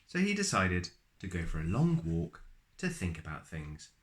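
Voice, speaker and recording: A man reading a children's picture book aloud in an expressive storyteller's manner, with dramatic pauses and drawn-out words. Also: low voice.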